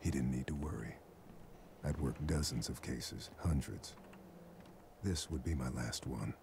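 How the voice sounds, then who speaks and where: An actor playing a weary, middle-aged occult detective in a video game, narrating gravely and deliberately with a bassy voice. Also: male voice, background noise, heavily acted. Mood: brooding, grave. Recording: music behind the voice.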